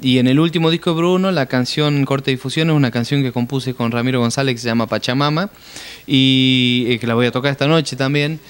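A man, a folk musician chatting relaxed and playful in a casual interview. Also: medium pitch, fairly quick, smooth. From Jujuy, Argentina. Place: small room, indoors, seated on couch. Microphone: handheld mic held close.

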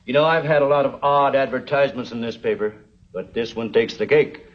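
An actor playing an older American frontier newspaperman in a TV western, folksy, dry and deliberate. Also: mid-range voice, fairly even intonation, lightly acted. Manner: folksy, reads copy aloud deliberately. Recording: dull old television soundtrack.

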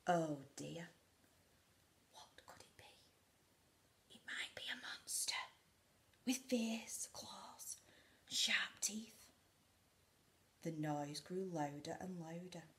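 A woman reads a children's story aloud, taking her time. Her slightly low voice swings widely in pitch, and she plays up the drama with hushed, whispered passages. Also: a little hiss or hum. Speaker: school storyteller. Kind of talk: expressive picture-book read-aloud to young children. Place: living room indoors by a window.

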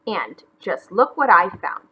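A woman's voice: mid-range voice, sing-song, slow pace, pushed and bright, exaggerated voice, poor audio. A woman reading a picture book, voicing a grumpy, blustering man who exclaims indignantly. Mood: pleased, boastful. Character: grumpy, blustering man feuding with bunnies at the beach.

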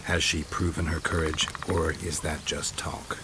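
An actor playing a terse, skeptical monster-hunting swordsman in a video game, gravelly and dry, speaking in clipped phrases. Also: very deep voice, flat delivery, measured pace, background noise. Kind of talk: game dialogue acting.

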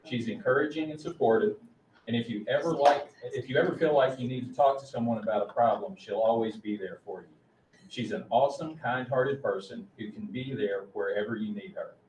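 A man's voice: medium pitch, brisk, a little mellow. Middle-aged male official, formal presenter reading aloud, picked up from far off in an echoing room. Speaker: school official presenting staff and student awards. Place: large meeting room, indoors. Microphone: distant room microphone. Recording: roomy, distant mic, faint noise.

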